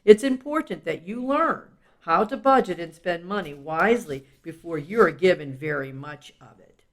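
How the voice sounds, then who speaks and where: A woman's voice: fairly low pitch, expressive, moderate pace. A woman reading a children's self-help book aloud in an instructive, explanatory way, with casual asides.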